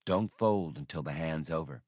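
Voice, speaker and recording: A man's voice: extremely low pitch, moderate pace, darkish tone, no room sound, clean studio sound, pitched below the performer's own voice. A man voicing a cocky, roguish starship captain with a laconic, wry delivery in an audiobook. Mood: quiet encouraging.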